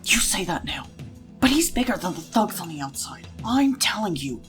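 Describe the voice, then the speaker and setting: A woman's voice: fairly low pitch, big pitch swings, moderate pace, a bit bright, faint music behind. A woman voicing a hot-tempered sixteen-year-old street brawler, a wary prisoner giving urgent, emphatic warnings in an audio drama.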